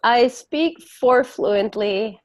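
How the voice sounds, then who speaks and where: A woman's voice: on the high side, very slow, no room sound. A woman from Belgium who works as a life coach and translator, speaking from home on a video call and switching back and forth between languages with easy little laughs. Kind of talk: bilingual live stream conversation. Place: home in the Caribbean, morning.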